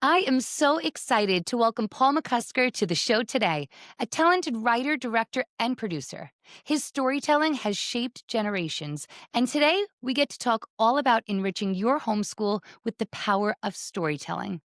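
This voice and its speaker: A woman's voice: fairly high pitch, expressive, moderate pace, fairly bright tone, no echo. Effusive woman podcast host, dry sound. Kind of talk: podcast hosting, conversational interview.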